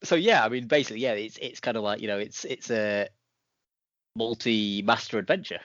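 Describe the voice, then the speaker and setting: A man's voice: on the low side, fairly quick, a bit bright, no room sound. A man hosting a review podcast, chatting casually. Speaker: podcast host. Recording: dull, dry podcast audio.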